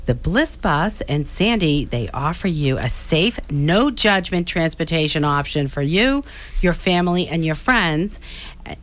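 A woman hosting a talk show, introducing and interviewing a guest in a conversational style. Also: lowish voice. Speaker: radio talk show host.

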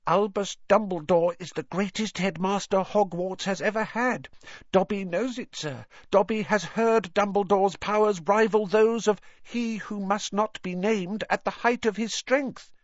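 A man voicing a small, anxious, servile house-elf in an audiobook, with a squeaky, strained voice and a pleading, earnest manner. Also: unhurried, no room sound, muffled recording. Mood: reverent, urgent. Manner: pleading, servile, refers to self in third person.

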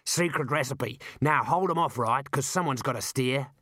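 Guy doing gruff aussie driver bloke for a storybook, blunt n slangy, mid-pitched, dry close sound. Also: normal speed, pushed and bright, no room sound. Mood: urgent shouting. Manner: blunt, slangy, matter-of-fact.

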